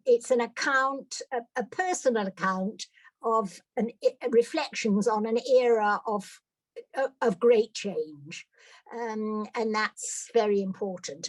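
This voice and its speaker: A woman's voice: a little high, dry recording. An older British woman giving a personal talk to an audience, hesitant, with many fillers and restarts. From Britain. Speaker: guest speaker.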